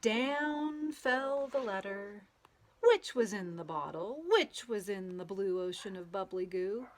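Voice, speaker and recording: A woman reading a children's picture book aloud in an expressive storytelling manner, drawing out words and playing with the sound words. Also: mid-range voice, slow pace, a little hiss or hum. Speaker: storybook read-aloud reader.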